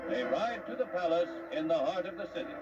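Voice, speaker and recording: A man's voice: high voice, big pitch swings, brisk. An American man reading scripted 1950s newsreel narration in a dramatic, declamatory announcer style. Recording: muffled distant 1950s newsreel soundtrack, loud music. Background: loud music.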